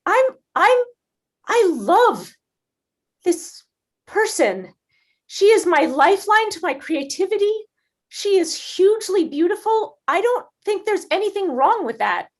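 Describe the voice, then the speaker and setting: A woman's voice: very high-pitched, big pitch swings, unhurried, exaggerated voice. A woman in an improvised comedy playing a wealthy boss who is passionately in love with her employee and talks in an emphatic, indignant way. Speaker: improv performer.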